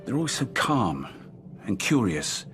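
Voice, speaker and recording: A man's voice: fairly low pitch, sing-song, a little slow, fairly bright tone, soft background music. A man playing an irritable research scientist under questioning in a radio drama, defensive and exasperated. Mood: defensive, irritated.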